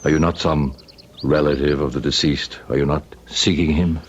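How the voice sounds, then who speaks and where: An actor playing a grave, pious Father Superior in an old-time radio drama, speaking in a solemn, measured, formal way. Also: a bass voice, fairly even intonation. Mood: grave, courteous. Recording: dull, hissy vintage radio recording.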